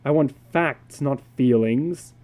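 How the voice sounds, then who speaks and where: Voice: mid-range voice, takes it slowly, a little mellow, close to an everyday voice. A reader voicing a worried, defensive father in a dramatic story reading, with an earnest, questioning manner.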